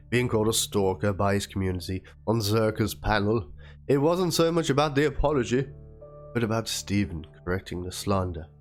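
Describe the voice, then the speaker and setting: Guy w a lowish voice doing a theatrical dramatic read of chat msgs, bit of music behind him. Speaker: narrator reading aloud. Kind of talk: dramatic reading of a written chat log and document. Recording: music under the voice. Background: instrumental background music.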